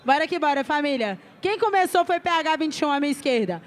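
A man hosting a rap battle, calling out loud announcements on a handheld mic at an outdoor stage. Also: very high-pitched, animated, fast talker. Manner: loud hype chants and announcements. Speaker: battle host. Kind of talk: hyping a crowd. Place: outdoor stage under a city viaduct. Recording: live stage sound with big crowd noise.

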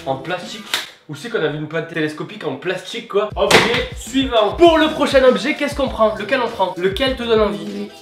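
A man's voice: high voice, animated, a little fast. Hyped young man in an echoey kitchen. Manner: hyped, joking, talks straight to viewers. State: stuffy with a cold. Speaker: YouTube host. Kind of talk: energetic unboxing vlog. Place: small bright home kitchen. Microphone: camera mic, standing a few steps back. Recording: echoey room with background music.

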